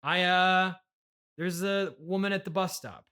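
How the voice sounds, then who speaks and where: A man's voice: sits high, unhurried, sharp tone, smooth. A man hosting a casual comedy interview, speaking in a hesitant, flustered way with frequent stammering. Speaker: podcast host and interviewer.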